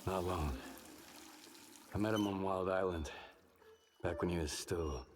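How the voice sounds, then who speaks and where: An actor playing a gruff male wasteland survivor in a video game, terse and guarded in an understated way. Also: low-pitched, a little slow, noisy background. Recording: long hollow reverb.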